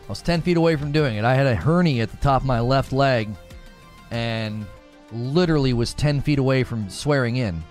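A man's voice: medium pitch, normal speed, a little mellow, faint music behind. Chatty man hosting a stream. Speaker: streamer and show host. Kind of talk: casual livestream chat.

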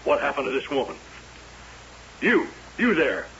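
Gruff, folksy small-town sheriff. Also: male voice, medium pitch, wildly swooping pitch, unhurried, fairly bright tone, a little hiss or hum, exaggerated voice. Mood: brusque, commanding. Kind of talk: old-time radio drama acting. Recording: muffled old radio broadcast with music.

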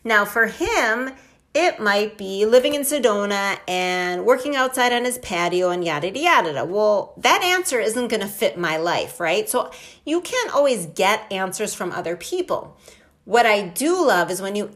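A woman hosts her own podcast, talking in a casual, enthusiastic way. Her pitch is very animated and leaps around as she makes her points, and she keeps checking in with "right?". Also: moderate pace. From Wisconsin. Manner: chatty, enthusiastic, lots of fillers like "right?" and "like". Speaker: podcast host. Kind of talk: casual motivational talk.

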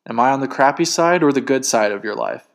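A man's voice: medium pitch, lively intonation, brisk, close to an everyday voice. A man voicing a hurt young woman in a story, pleading and accusing as she presses her partner. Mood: hurt, challenging.

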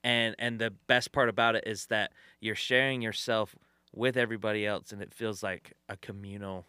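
Bright, smooth-voiced male comedian guest. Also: on the low side, fairly even intonation, no room sound, studio quality. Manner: halting, lots of fillers and laughs. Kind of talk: casual chat.

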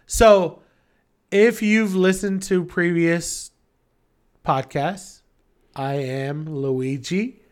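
Young guy subbing on a podcast, talks slow and easy, kinda high voice, joking around. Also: clean tone. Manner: easygoing, playful, drawn-out delivery. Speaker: podcast guest co-host. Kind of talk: casual chat.

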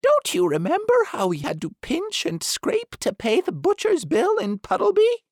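A man voicing a fussy, bossy female duck housekeeper in a storybook reading, shrill and scolding. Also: huge pitch swings, moderate pace, dry recording, clean studio sound, heavily acted.

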